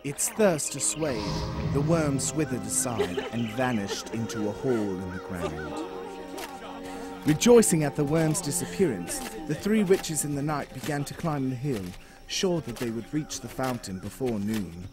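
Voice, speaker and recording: Male narrator, medium pitch, dramatic storybook delivery, scripted, music bed behind. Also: sing-song, measured pace.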